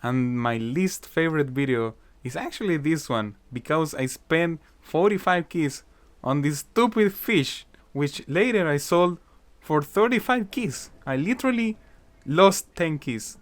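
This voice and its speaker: A man's voice: on the high side, lively intonation, unhurried. Casual chatty man, improvised commentary. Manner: casual, chatty, frequent "you know" fillers. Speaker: gaming YouTuber. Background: faint guitar music.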